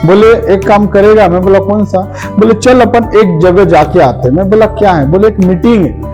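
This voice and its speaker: A man's voice: sits high, normal speed, warm tone, highs cut off, soft background music. A man from Maharashtra gives a motivational seminar speech, repeating phrases for emphasis and putting questions to his listeners. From Maharashtra, India. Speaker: motivational speaker for direct selling.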